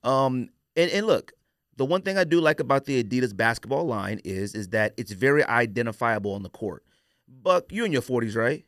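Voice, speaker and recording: An American man in his forties talks like a podcast host deep in a casual debate. His pitch moves in lively swings and he drives points home by repeating them. The recording is close and dry. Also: fairly quick, dry recording. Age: 40s. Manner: emphatic, repeats phrases for stress. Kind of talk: casual opinionated sneaker debate.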